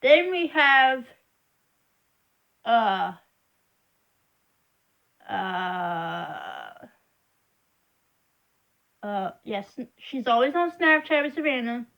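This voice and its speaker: Young woman, high voice, slow unhurried delivery, frustrated rambling vlogger. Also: very animated, creaky, highs cut off. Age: young adult. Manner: frustrated, rambling, emphatic complaining. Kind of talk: casual venting monologue.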